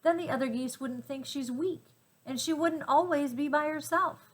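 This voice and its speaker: A woman's voice: high-pitched, normal speed, voice pushed up, close to an everyday voice. A woman voicing a tender, earnest young girl in a storybook, with an encouraging, hopeful manner. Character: tender, earnest young girl who loves a lame goose. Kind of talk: storybook character voice.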